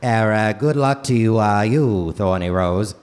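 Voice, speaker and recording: Man doing a halting, swaggering Boston-accented president. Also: lowish voice, darkish tone, voice pushed down, natural voice. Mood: suave, halting. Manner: halting, peppered with drawn-out ers and uhs. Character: heroic swaggering American president, folksy and hesitant, JFK parody. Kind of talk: comedic live radio play acting. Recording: noisy live theater stage recording.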